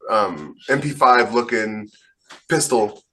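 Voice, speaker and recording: A man's voice: fairly low pitch, lively intonation, slow pace, bright, forceful tone. Casual, opinionated male podcaster. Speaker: podcast co-host. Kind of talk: casual group podcast chat.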